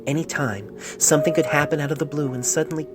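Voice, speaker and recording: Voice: mid-range voice, fast talker, pitched above the performer's own voice, natural voice. Narrator doing a tender, lovestruck pony sweetheart. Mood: wistful, devoted. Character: loving, tender, sentimental pony writing a love letter. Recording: amateur phone recording with music behind.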